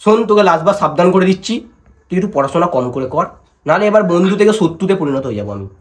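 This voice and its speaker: A man's voice: high voice, animated, very fast. A young man with a West Bengali accent chatting to viewers and making prank calls in a playful, mock-dramatic, pleading manner. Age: young adult. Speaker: prank-calling vlogger. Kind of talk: casual vlog chat and prank phone calls.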